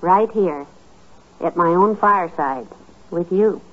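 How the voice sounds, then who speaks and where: An actress playing a kindly, patient, affectionate wife in a 1950s radio comedy, homey and soothing. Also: female voice, fairly low pitch, slow. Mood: tender, content. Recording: muffled 1950s radio recording.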